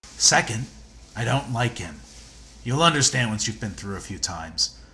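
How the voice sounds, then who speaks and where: A man's voice: medium pitch, sing-song, unhurried, background noise. A man playing a confident, sardonic, combative interstellar rival in a video game, speaking in a dry, cocky manner. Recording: echoey, roomy sound.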